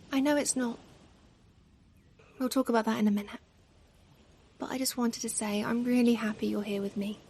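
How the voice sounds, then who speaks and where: Woman acting as a doting mother, highish gentle murmur, affectionate, ASMR roleplay, ocean noise behind. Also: lightly acted. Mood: cheerful, fond. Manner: soft, affectionate, gently murmuring, sometimes near whisper. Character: tender, doting, playfully self-deprecating mother comforting her pet. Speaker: ASMR performer. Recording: steady ambient noise, ocean waves. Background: ocean waves ambience.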